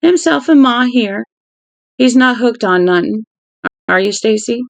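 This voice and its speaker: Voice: medium pitch, lively intonation, unhurried, gravelly, dry recording, pitched above the performer's own voice. A reader voicing a cocky, crude young older brother from a novel, slangy, blunt and teasing. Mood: blunt, defiant.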